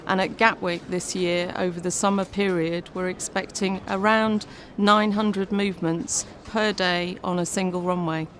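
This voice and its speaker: A woman's voice: lowish voice, fairly even intonation, measured pace. A woman explaining operations in an interview, speaking as a manager.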